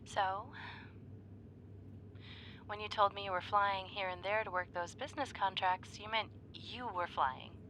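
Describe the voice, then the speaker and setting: A woman playing an excitable, playful young woman on a date, in a conversational, halting roleplay style with teasing, gushing delivery. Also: medium pitch, slight background noise, poor audio. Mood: playful, curious. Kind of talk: ASMR roleplay acting, one side of a dialogue.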